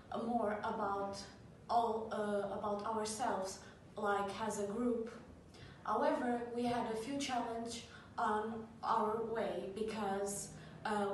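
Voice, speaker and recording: A young woman presents to her class very slowly, pausing on many fillers. Her voice is fairly high. She is heard from far off in an echoing classroom. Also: slight background noise. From Portugal. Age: young adult. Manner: slow, hesitant, many uh fillers. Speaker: student presenter. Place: classroom with a large screen. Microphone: far camera. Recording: echoey room, camera far off.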